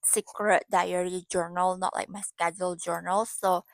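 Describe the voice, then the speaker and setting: A woman in her twenties, a native Indonesian speaker, talking through her goals in casual, halting English and often searching for words. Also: lowish voice, little pitch movement, creaky. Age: 20s. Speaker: vlogger. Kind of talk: casual vlog talk about goals.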